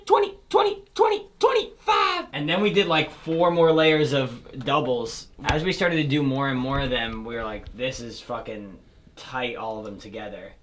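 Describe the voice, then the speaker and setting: A man's voice: mid-range voice, normal speed, slight background noise. A young man in his twenties, a rapper and beat producer, chatting casually and rambling in a small home recording studio. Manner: relaxed, rambling, with fillers.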